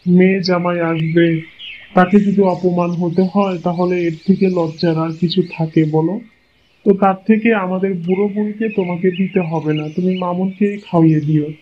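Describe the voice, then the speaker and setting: Cartoon actor as gentle, resigned village elder; high male voice, reassuring and plain-spoken, muffled noisy track. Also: steady tone, normal speed, lightly acted. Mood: resigned, advising. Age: older. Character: patient, kindly old village father-in-law, gently resigned. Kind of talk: cartoon fairy-tale voice acting. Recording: muffled lo-fi audio, clear background noise.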